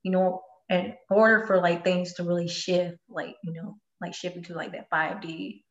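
A soft-spoken woman hosts a podcast at a slightly low pitch. She muses reflectively and leans on a lot of fillers. Her voice comes through roomy and a little dull, as if recorded in an echoey room on a lo-fi mic. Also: flat delivery, normal speed. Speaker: podcast host. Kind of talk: casual podcast chat.

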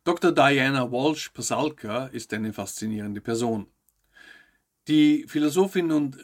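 A man hosts a news show, reading scripted narration with personal commentary in a measured, articulate way. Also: medium pitch. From Austria. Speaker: host of a weekly UFO news show.

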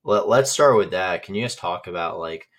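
A man with a very low voice hosts a relaxed interview. He asks long, winding questions, dotted with "you know" and little restarts. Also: normal speed. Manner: conversational, frequent "you know". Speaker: interview host. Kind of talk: casual interview.

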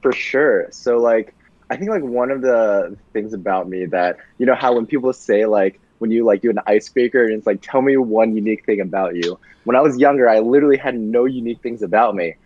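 A young man, a podcast guest, talking casually about his childhood, relaxed and full of fillers, laughing easily. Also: medium pitch, a little fast, highs cut off. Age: young adult. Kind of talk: casual conversational storytelling.

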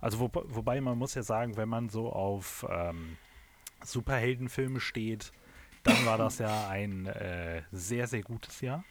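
A man's voice: lowish voice, a little slow, noisy background. A man hosting a casual podcast chat among friends, leading the talk with many hesitations and fillers. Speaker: podcast host.

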